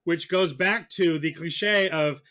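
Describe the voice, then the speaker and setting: Podcast mock prosecutor: male, slightly high-pitched, argumentative, stumbles and doubles back on his words. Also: a loud crowd. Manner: argumentative, halting with repeated words. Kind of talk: comic debate on a film podcast.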